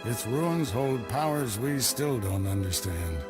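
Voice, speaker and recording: An actor playing a calm, stern male Jedi master who speaks in a measured, instructive way, in a game cutscene. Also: medium pitch, over music, natural voice. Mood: commanding.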